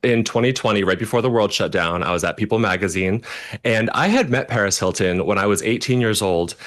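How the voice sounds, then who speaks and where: Deep voiced guy in his 30s, chatty storyteller, does little voices when he quotes people. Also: fairly bright tone. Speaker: makeup artist, guest. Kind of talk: casual anecdote telling.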